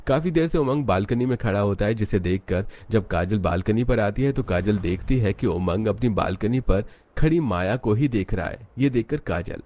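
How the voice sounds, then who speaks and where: A man's voice: lowish voice, animated, moderate pace, hardly any pauses, darkish tone. A man narrating a scripted story as its storyteller. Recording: music bed under voice. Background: background music.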